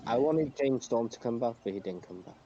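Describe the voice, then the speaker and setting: A man's voice: mid-range voice, lively intonation, brisk, dark tone. A man co-hosting a wrestling podcast in casual talk over a muffled, noisy voice call. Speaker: podcast co-host.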